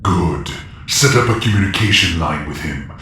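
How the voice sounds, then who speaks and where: An actor playing an imperious, power-hungry galactic warlord in an audio drama, commanding and threatening. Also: male voice, expressive, moderate pace, bright, forceful tone, a little hiss or hum. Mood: menacing. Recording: heavy echo, faint rumbling ambience.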